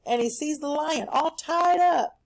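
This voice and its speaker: High-voiced woman teaching young children. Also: measured pace, vocal fry. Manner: explanatory, animated, acts out bits of the story. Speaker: elementary reading teacher. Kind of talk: recorded read-aloud lesson for children at home.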